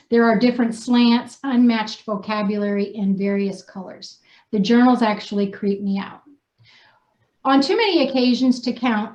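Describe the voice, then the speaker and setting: High-voiced American woman in an echoey room. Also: a little mellow. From New Hampshire, United States. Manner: chatty, informal, slangy. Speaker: host and co-author. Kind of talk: casual unscripted group chat, reading aloud from a personal story. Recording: dull, echoey room sound.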